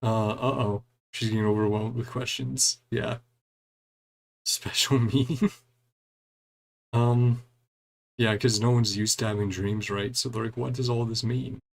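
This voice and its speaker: A man's voice: medium pitch, fairly even intonation, measured pace, gravelly, long pauses. A man giving casual gameplay commentary, reading game dialogue aloud and adding wry, chuckling reactions in a laid-back way. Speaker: gaming streamer doing a playthrough.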